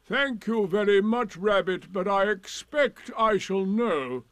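A man voicing a gloomy, sarcastic donkey in a storybook, with drawn-out, emphatic, indignant delivery. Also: high voice, lively intonation, no echo, pitched above the performer's own voice. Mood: coldly sarcastic.

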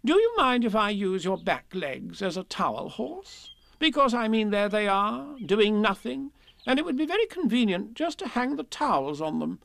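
Storybook rabbit, performed by a man: fussy, superior, politely prim, pitched high and bouncing in tone. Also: very animated, normal speed, gravelly, a bit bright, no room sound, exaggerated voice. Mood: cheerful. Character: brisk, polite, fussy, know-it-all male rabbit.

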